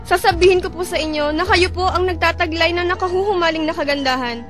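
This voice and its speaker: Woman playing a high-voiced, deferential palace maid. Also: quick pace. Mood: anxious, pleading. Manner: respectful, formal, obedient. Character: deferential female palace servant to a sultana. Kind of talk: dramatized epic audio drama. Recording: music under the voice.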